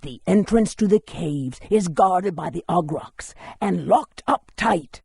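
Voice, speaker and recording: Expressive, husky, creaky lore-keeper. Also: male voice, high voice, slow, no echo, studio quality, exaggerated voice. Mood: storytelling. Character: helpful scholarly lore-keeper in a fantasy city. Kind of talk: video game voice acting.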